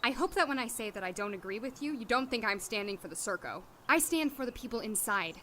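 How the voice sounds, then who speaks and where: A blunt, idealistic doctor, played by a woman with a high, very bright voice. The delivery is conversational audio drama acting, with faint noise behind it. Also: expressive, quick pace. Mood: earnest.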